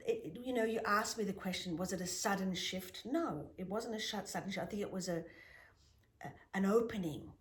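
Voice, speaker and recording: A middle-aged woman whose mother tongue is Greek, telling reflective stories in an interview with emphatic rises and soft whispered asides. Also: mid-range voice, normal speed. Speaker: guest, spiritual healer.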